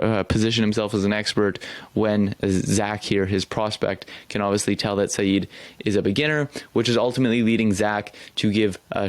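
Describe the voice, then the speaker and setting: A man's voice: on the low side, no room sound. A man commenting on a recorded sales call as a coach, critiquing bluntly and praising with enthusiasm. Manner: direct, critiquing, animated praise. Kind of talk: commentary over a video.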